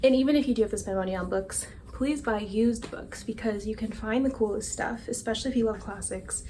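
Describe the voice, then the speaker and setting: A woman's voice: a little high, brisk, mellow, slight background noise. A young woman chatting casually and rambling a little, self-deprecating, as a book vlogger. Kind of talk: casual chat.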